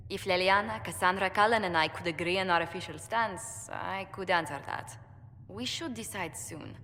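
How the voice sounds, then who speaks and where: An actress playing a tactful, courteous diplomat in a video game, speaking carefully and formally. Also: female voice, lowish voice, moderate pace, sharp tone. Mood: polite, businesslike. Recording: reverberant hall, faint background noise.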